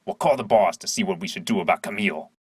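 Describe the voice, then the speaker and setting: Man acting husky, pushy crooked detective. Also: deep voice, fast talker, no room sound, studio quality. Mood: wary, conspiratorial. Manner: pushy, probing. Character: corrupt male detective, suspicious, bossy, covering up murder. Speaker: police detective. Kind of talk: audio drama acting.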